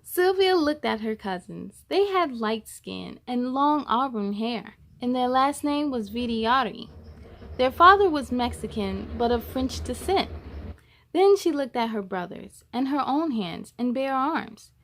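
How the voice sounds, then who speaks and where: A woman reading a children's picture book aloud in an expressive, measured storytelling style. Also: on the high side.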